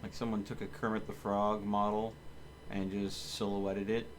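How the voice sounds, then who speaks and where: A man's voice: lowish voice, level tone, normal speed, slight background noise. A man giving casual, blunt and grumbling live commentary while streaming a game. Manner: blunt, grumbling, mildly cursing.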